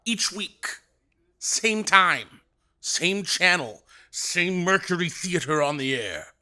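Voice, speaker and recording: An actor playing a domineering, theatrical radio director who speaks with sarcastic command in an audio drama. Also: male voice, high-pitched, lively intonation, slow pace, sharp tone, full character voice. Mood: ranting. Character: grandiose, domineering male radio director, mid-career.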